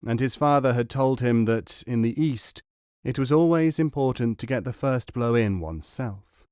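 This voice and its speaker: Measured male audiobook narrator, dry. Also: mid-range voice, darkish tone, no room sound. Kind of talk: reading a novel aloud.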